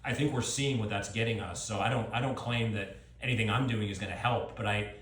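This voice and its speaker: A man's voice: on the low side, steady tone, a little fast, a bit bright. A middle-aged man talking off the cuff as an author at a live event, reflective and often circling back to rephrase his points. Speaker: author speaking at a book event. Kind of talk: thoughtful extemporaneous talk and audience Q&A. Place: live book event with an audience. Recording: roomy, echoey live event sound.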